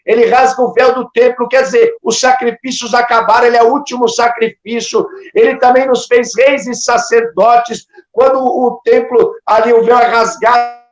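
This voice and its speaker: High-pitched Brazilian man preaching in echo. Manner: exhortatory, emphatic, with rhetorical questions and devotional interjections. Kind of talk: impassioned sermon. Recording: echoey, reverberant room sound.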